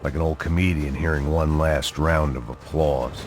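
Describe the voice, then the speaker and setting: A man playing a bitter, hard-drinking middle-aged American ex-cop, delivering deadpan noir voice-over for a game. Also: very deep voice, moderate pace. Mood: bleak, wry. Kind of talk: noir scripted voice-over narration. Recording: music behind the voice.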